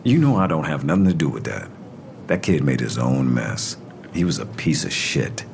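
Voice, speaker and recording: A man's voice: extremely low pitch, big pitch swings, normal speed, creaky, darkish tone, slight background noise, exaggerated voice. An actor playing a hostile, evasive ex-convict stepfather in an audio drama, curt and dismissive with coarse street talk. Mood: defiant, menacing.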